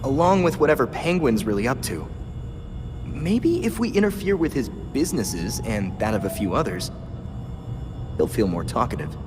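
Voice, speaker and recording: An actor as an earnest, expressive young vigilante sidekick. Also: male voice, mid-range voice, moderate pace, music in the background, lightly acted. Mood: frustrated, earnest. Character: earnest young male vigilante sidekick, eager and self-doubting. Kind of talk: video game cutscene acting.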